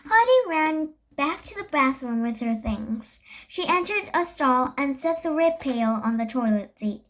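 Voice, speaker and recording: Young child reader, very high voice, slow and halting, for a children's book read-aloud. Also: animated, fairly bright tone, low-quality recording. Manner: halting, stumbles and corrects words. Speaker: storybook reader.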